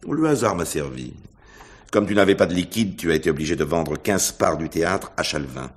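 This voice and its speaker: An actor playing a smug, cuckolded husband in a radio mystery play, talking in a mocking, condescending manner. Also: male voice, low-pitched, lively intonation, brisk. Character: sardonic, smug cuckolded husband and theatre man.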